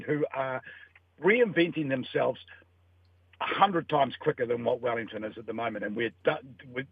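A man's voice: medium pitch, breathy edge, no echo. An older man, calling in to a radio chat and speaking his mind bluntly and emphatically. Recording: tinny dry phone line.

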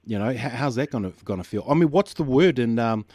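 A man hosting a radio show, asking questions in a thoughtful, measured way. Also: mid-range voice, expressive, darkish tone, dry recording, studio quality. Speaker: radio host. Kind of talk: radio interview.

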